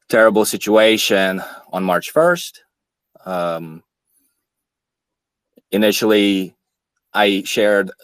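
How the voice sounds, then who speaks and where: Slow, measured man with a low voice. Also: a bit bright, dry recording. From Ukraine. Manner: measured, halting, frequent fillers. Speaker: podcast speaker. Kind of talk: monologue, personal account.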